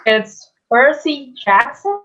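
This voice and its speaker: A woman playing a bewildered boy newcomer, halting and incredulous, in a theatre table read. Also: high voice, sing-song, fairly bright tone, exaggerated voice. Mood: confused. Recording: dull lo-fi microphone.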